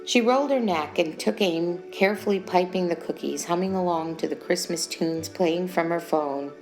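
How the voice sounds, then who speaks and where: Female author-narrator, fairly low register, reading romantic short fiction over soft music. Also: normal speed. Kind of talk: scripted audiobook narration.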